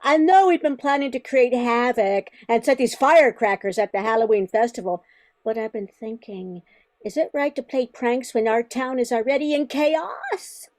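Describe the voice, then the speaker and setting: A woman's voice: fairly high pitch, moderate pace, a little mellow, natural voice. An older woman voicing a young schoolboy prankster with a conscience in an amateur radio play, earnest in manner. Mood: doubtful. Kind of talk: amateur old-time radio play acting.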